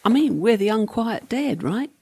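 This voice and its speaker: A woman's voice: a little high, animated, moderate pace, gravelly, warm tone, breathy edge, heavily acted. An actress playing the ghost of a serving girl in a radio play, practical and teasing, with a dry, matter-of-fact manner. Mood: wry, matter-of-fact.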